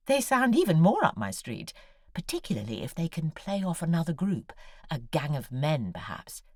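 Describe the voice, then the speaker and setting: An anxious woman writer in an audio drama, confiding and articulate. Her low, husky voice moves in wide, expressive sweeps as she voices her correspondence with brooding intensity. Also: no echo, clean studio sound, exaggerated voice. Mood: delighted. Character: anxious, needy, brooding woman novelist writing emails. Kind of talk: audio drama monologue of read letters.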